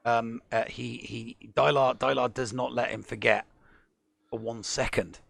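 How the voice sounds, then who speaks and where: Man, co-host, gravelly, dramatic sportscaster-style recap, dry room. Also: medium pitch, fairly even intonation, a little slow, a bit bright, no echo. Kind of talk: casual gaming show commentary.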